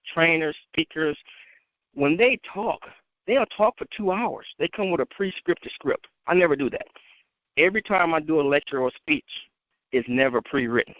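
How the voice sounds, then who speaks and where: A man's voice: fairly high pitch, no echo. Emphatic male motivational speaker, dull call audio. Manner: emphatic, direct address to listeners. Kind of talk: unscripted motivational talk. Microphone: phone conference line. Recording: dry, dull lo-fi conference call.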